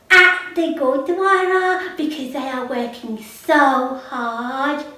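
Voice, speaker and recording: Woman playing an eager, high-pitched little boy puppet. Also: extremely slow, heavily acted. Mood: earnest. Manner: excitable, stammering repetitions of words. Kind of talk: children's puppet show dialogue. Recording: echoey room, distant microphone.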